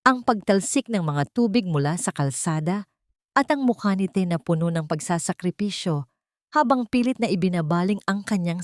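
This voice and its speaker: A woman's voice: fairly low pitch, dry recording, studio quality. A woman narrating a scripted drama in a solemn, emotive storytelling manner.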